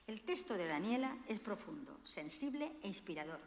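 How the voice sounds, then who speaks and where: A woman's voice: mid-range voice, sing-song, normal speed, slight background noise. A woman giving a formal, eloquent prepared literary introduction as the presenter of a book launch. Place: hall of a cultural institution. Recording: very echoey hall with long reverb.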